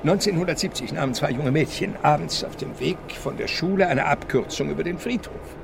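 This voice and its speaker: An actor playing a policeman who recounts eerie legends with suspenseful pauses in a radio crime drama. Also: fairly high pitch, gravelly, noisy background. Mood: suspenseful, relishing. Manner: storytelling, pausing for suspense.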